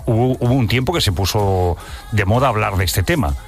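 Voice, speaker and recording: A middle-aged man hosts a casual radio chat. His voice sits a little low, and he talks bluntly and emphatically. Faint music plays behind him. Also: expressive, a little fast. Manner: blunt and emphatic, swears freely. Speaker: radio host.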